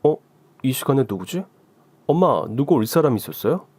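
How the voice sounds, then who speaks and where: A woman's voice: very deep voice, big pitch swings, moderate pace, a little mellow, husky, no room sound, exaggerated voice. Guy doing a fortyish woman in a radio story, raised voice, super polite and flustered, bouncy up-and-down delivery, dry close sound. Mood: eager polite. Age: 40s. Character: anxious polite daughter-in-law, forty, earnest.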